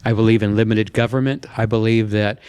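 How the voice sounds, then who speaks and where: An older man talking earnestly and plainly as a contestant on a dating show, explaining himself in a measured way. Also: lowish voice, steady tone, a little mellow, clear, resonant tone.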